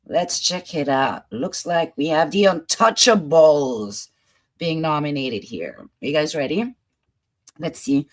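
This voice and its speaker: A woman with a French accent, hosting a casual commentary show and chatting playfully about news clips. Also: deep voice. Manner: chatty, playful, laughs and muses aloud. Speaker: host.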